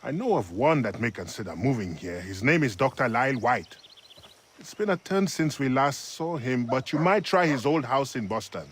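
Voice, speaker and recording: A man's voice: mid-range voice, lively intonation, moderate pace, slight background noise, close to an everyday voice. A man playing an informal, good-natured frontier husband and brawler in a video game. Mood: matter-of-fact. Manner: ribbing, informal. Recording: faint insect and outdoor ambience behind.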